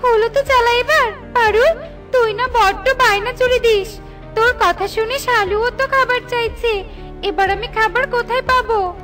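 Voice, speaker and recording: A woman's voice: very high-pitched, moderate pace. A woman voicing a practical mother bird in a children's cartoon, fussing and chiding, with music behind. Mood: exasperated. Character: practical female mother bird, easily exasperated.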